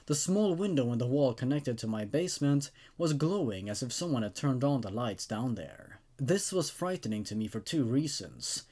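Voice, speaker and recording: A man narrates a scripted first-person horror tale. His voice sits at an average pitch but moves widely up and down. The delivery is measured and dramatic, that of a storyteller reading aloud. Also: big pitch swings, dark tone.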